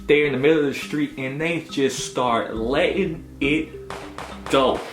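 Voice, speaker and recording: A man's voice: medium pitch, measured pace. Young man telling stories in a roomy space. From Augusta, United States. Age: 20s. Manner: animated, slangy, punctuated with "you know what I'm saying". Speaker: storytelling vlogger. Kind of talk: casual personal storytelling. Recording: roomy echo with music behind. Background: background music.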